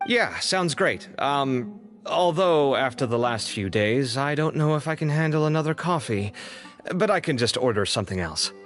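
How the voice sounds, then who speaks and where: A man plays a friendly young video game character. The medium-pitched voice moves up and down freely. The talk is earnest, informal and chatty, with faint music underneath. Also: expressive, normal speed, a bit bright, close to an everyday voice. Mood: agreeable, hesitant. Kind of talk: English video game voice acting.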